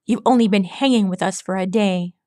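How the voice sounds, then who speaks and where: A woman's voice: medium pitch, brisk, darkish tone, dry recording, studio quality, lightly acted. A woman voicing a grumpy, bookish young male earth pony who grumbles in a proud, well-spoken way. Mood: grumbling.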